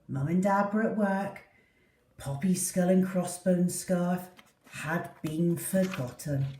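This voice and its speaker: A woman reading a children's story aloud in an expressive, deliberate way for young listeners. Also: on the low side. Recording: roomy echo, distant mic.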